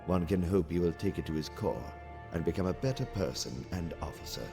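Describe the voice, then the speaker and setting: A man voicing a calm, calculating alien military officer in formal, precise phrases for a comic dub. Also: low voice, creaky, music in the background. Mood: firm, composed. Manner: measured, formal, precise wording. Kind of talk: dramatic comic dub acting.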